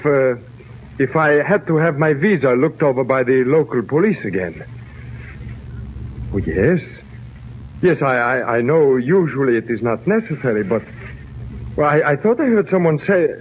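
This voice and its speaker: A man's voice: medium pitch, natural voice. Guy playing anxious formal european count in a 40s radio show, very swingy delivery, tinny hissy sound. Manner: agitated, hesitant, formal. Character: distraught European count, anxious devoted husband. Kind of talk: 1940s radio serial acting.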